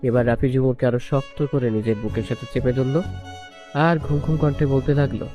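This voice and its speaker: A male storyteller narrates a scripted romance in an average-pitched voice. Clearly audible instrumental music plays behind him throughout. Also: fairly even intonation, brisk. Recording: music bed under the voice. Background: prominent instrumental music.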